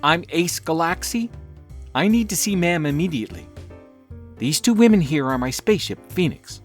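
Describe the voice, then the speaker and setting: A woman's voice: lowish voice, expressive, moderate pace, faint music behind, lightly acted. A woman voicing a determined male space hero who speaks urgently and insistently.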